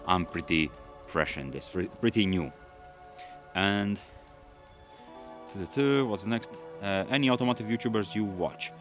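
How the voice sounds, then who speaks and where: Vlogger, male, from London, Russian his first language; deep, easygoing, meandering Q&A talk. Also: a little slow, pauses often, faint music behind. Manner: relaxed, rambling, with frequent fillers and restarts. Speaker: automotive YouTuber. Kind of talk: casual Q&A chat to camera.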